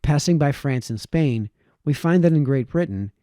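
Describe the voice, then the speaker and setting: A man reading a formal historical essay aloud with measured phrasing. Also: on the high side, lively intonation, warm tone, no room sound, clean studio sound. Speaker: reader, host of a reading series.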